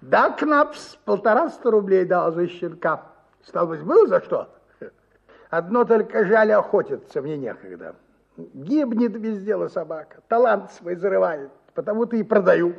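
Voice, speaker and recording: An actor playing a tipsy, boastful middle-aged army officer in a radio story, effusive and theatrical, drawling and wheedling. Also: male voice, high voice, big pitch swings, moderate pace, gravelly, breathy edge, heavily acted. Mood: wheedling salesmanship. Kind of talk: dramatized radio short story, partly sung. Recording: slightly muffled, guitar music behind.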